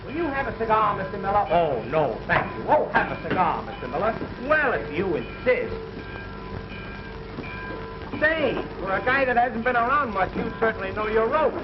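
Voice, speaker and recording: An actor playing a flippant, gag-cracking joker in a 1930s film comedy. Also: male voice, high voice, big pitch swings, normal speed. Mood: cocky. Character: wisecracking small-town joker and would-be radio announcer. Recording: dull 1930s film soundtrack, music behind.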